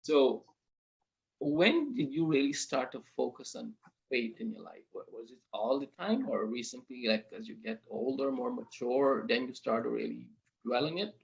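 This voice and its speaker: A man hosts a casual interview show, speaking sincerely but haltingly and often repeating himself. His voice is gravelly with a lot of fry. The recording sounds dull and muffled. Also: mid-range voice, very animated. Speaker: podcast host. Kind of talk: casual interview, introducing a guest.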